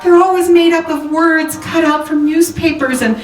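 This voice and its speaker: In a roomy recording with faint music, a woman acts out a fretful young society wife. Her high voice is polite and hesitant, in the manner of 1940s radio drama. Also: steady tone, normal speed. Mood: anxious, polite. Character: anxious, genteel young married woman seeking a detective's help. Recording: roomy, distant microphone, music behind.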